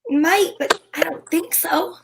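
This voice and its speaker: Woman acting a plucky wisecracking girl detective, high voice, old radio show style, noisy recording. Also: takes it slowly, exaggerated voice. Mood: coy, indignant. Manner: dramatic storytelling. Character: plucky wisecracking female private eye Candy. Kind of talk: old-time radio detective acting, first-person narration.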